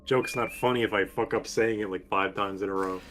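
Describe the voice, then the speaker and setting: A man's voice: fairly low pitch, steady tone, a little fast, a bit bright. A man doing casual, joking game commentary with crude, sweary language. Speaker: gaming stream co-commentator. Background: game music.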